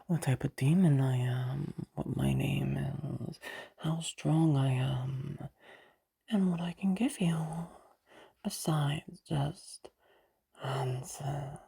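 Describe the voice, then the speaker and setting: A woman playing a sly, teasing female demon of lust in an audio roleplay, bargaining patiently in a breathy, creaky voice with halting pauses and whispers. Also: rumbling low, dark tone, heavily acted. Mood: sly, coaxing.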